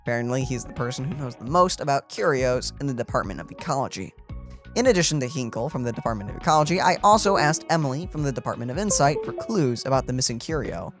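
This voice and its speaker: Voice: mid-range voice, animated, quick pace. A let's play streamer reading game text aloud and adding casual commentary. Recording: game music in background.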